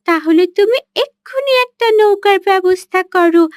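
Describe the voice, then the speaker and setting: Casting: woman to play kind-hearted cartoon daughter, extremely high voice, earnest and caring. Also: expressive, moderate pace, no echo, studio quality. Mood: earnest concern. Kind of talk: cartoon voice acting.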